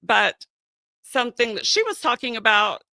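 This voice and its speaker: A woman's voice: a little high, very animated, normal speed, pushed and bright, no echo. A woman hosting a casual live-stream chat on her channel, losing her voice and sounding scratchy, rambling along with plenty of fillers. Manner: chatty, rambling with many fillers. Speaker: channel host. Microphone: podcast microphone.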